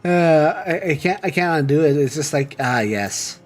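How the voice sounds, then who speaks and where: A man's voice: on the high side, expressive, moderate pace, soft background music. A man chatting casually on a game stream, stumbling into repeated restarts. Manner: stammering restarts. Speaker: co-host guest. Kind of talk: casual chat.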